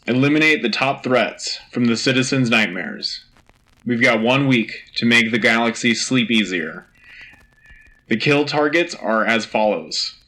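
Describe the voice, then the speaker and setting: A man's voice: medium pitch, unhurried, sharp tone, low-quality recording. A man playing an earnest war news anchor on a scripted radio drama, delivering bulletins in a clipped, solemn, dramatic way. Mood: urgent, resolute. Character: Joe Turner, earnest propaganda-era war news anchor. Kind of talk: scripted wartime news broadcast acting.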